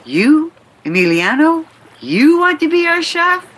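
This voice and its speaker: A woman's voice: high voice, big pitch swings, unhurried, fairly bright tone, full character voice. A woman playing a plainspoken, incredulous tour boat hostess in a radio play. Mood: dismayed, doubtful. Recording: faint background noise.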